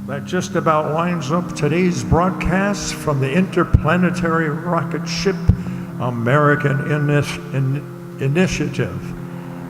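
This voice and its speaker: An actor playing a radio broadcaster reporting from an interplanetary rocket ship in a 1950s-style radio drama, with a folksy, formal delivery. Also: male voice, fairly high pitch, noisy background, natural voice. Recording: very roomy hall, loud background music.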